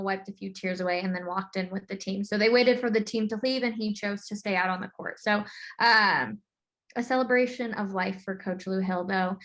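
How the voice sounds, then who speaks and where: A woman's voice: mid-range voice, fairly bright tone. A woman hosting a sports podcast in a casual, informal, conversational way as she steers the discussion.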